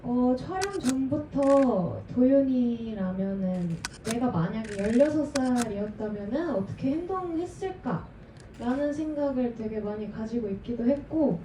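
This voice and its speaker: An actress from Seoul talks carefully about preparing her role. Her voice sits a little high. She sounds far from the mic in an echoing room, with clear background noise. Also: expressive, a little slow. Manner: reflective, careful. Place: cinema auditorium. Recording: distant, roomy hall with noise.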